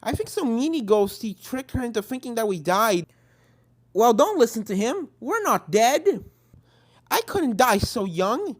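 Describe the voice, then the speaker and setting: A storybook reader voicing young cartoon pony friends, high-pitched, gently scolding and reassuring. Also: big pitch swings, unhurried. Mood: cheerful, reassuring.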